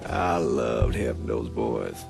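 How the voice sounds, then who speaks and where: Very creaky, slow-talking reminiscer. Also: male voice, extremely low pitch, animated, loud music behind the voice, exaggerated voice. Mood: wistful. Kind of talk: video game cutscene acting.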